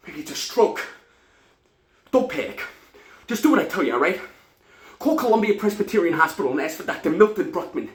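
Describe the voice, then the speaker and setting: A man's voice: sits high, fairly bright tone, voice pushed up. A man performing a comic stage monologue as a neurotic, panicky hypochondriac who gasps and exclaims as if dying. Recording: roomy, echoing solo room recording.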